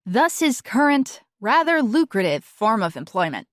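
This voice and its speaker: A woman's voice: fairly high pitch, sing-song, moderate pace, no room sound, studio quality. A woman playing a clever, smug teenage girl in a full-cast audiobook, with a wry and teasing way of talking. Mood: wry, knowing.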